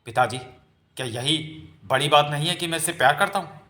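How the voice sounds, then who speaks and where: Man playing an earnest lovestruck young son, bright voice. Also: a little high, a little fast. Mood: pleading. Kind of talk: amateur comic-book dramatization.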